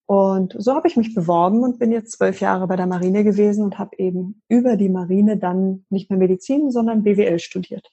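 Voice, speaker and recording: Mellow, husky-voiced woman from Berlin. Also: mid-range voice, lo-fi sound. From Berlin, Germany. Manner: measured, articulate, occasional fillers. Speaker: guest, leadership coach. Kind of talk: conversational interview.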